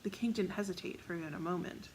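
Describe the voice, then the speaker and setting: Creaky-voiced woman narrating a story. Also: lowish voice, fast, darkish tone, slight background noise, rough recording. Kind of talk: scripted fiction narration.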